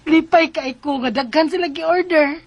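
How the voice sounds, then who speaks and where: An actress playing a hopeful, upbeat young wife who sells on credit, in Bisaya radio drama style with a Cebuano accent. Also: female voice, high-pitched, moderate pace.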